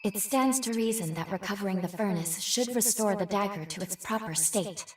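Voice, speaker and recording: A woman voicing a thoughtful, analytical researcher who reasons aloud in a measured way in a game cutscene. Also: mid-range voice, slight background noise, natural voice. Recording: roomy, slightly distant, light music.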